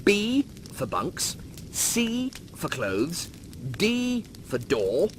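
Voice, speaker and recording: A fussy, pedantic hologram officer, voiced by a man in a sci-fi comedy audiobook. The voice is high and sharp, swinging widely and easily panicked. Some noise sits behind it. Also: huge pitch swings, extremely slow, heavily acted. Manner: pedantic, shrill, urgent. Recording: noisy background.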